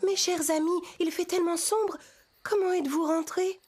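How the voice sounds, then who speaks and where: A woman voicing a sweet, caring young princess with a gentle, concerned manner in a children's cartoon. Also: very high-pitched, steady tone, normal speed, voice pushed up.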